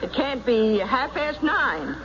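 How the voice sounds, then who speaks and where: High-voiced, defiant radio-drama farm wife. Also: female voice, lively intonation, fairly bright tone, heavily acted. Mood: defensive. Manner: emphatic, quick to bristle. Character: distraught, defiant farm wife, indignant and insistent. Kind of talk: old-time radio drama acting. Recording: muffled vintage radio recording, faint noise.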